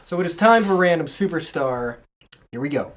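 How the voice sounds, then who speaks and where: A talkative podcast host with a mid-range voice chats informally about wrestling, offering opinions freely. There is clear noise in the background of the recording. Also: medium pitch, lively intonation, normal speed. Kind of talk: casual chat about pro wrestling.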